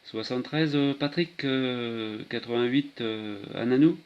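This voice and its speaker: A man chatting casually and haltingly as a CB radio operator. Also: medium pitch. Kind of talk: casual radio contact chat. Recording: muffled lo-fi CB radio, clear noise.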